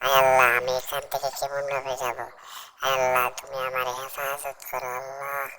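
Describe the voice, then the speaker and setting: A woman voicing a small, excitable, greedy female tailorbird in a children's cartoon, exclaiming to herself in a childlike way. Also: very deep voice, normal speed. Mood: frightened, pleading. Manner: exclaiming, wonder-struck, self-talking.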